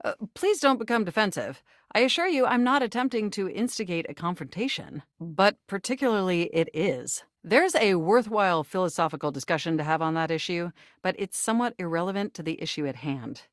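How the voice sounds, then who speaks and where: Dating game character: an anxious, earnest desktop computer, precise and wordy, very animated delivery, dry close sound. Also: female voice, on the low side, a little fast, few pauses, no room sound. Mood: tactful, aggrieved. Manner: formal, wordy, precise, nerdy diction. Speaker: video game character voice actor. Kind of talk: scripted dating game dialogue.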